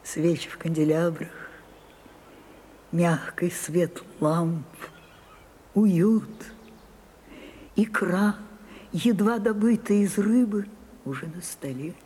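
A woman playing a grand, nostalgic older actress in a radio drama, with measured, theatrical delivery and dramatic pauses. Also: lowish voice, dark tone. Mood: wistful, grand. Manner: measured, dramatic pauses, falls to a breathy whisper. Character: Sarah Bernhardt, grand wistful ageing actress, theatrical and nostalgic. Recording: 1980s radio play with faint music.

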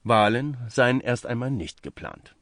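A man's voice: mid-range voice, animated, unhurried, fairly bright tone, no room sound, studio quality. A man reading a scripted piece of political journalism aloud as narration. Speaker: reader of a translated news article.

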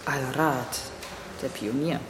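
A woman's voice: a bass voice, takes it slowly. An actress playing an anxious, dutiful young German woman who spies in secret, in a radio drama with inner monologue. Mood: guarded, casual. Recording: studio radio drama with music underneath.

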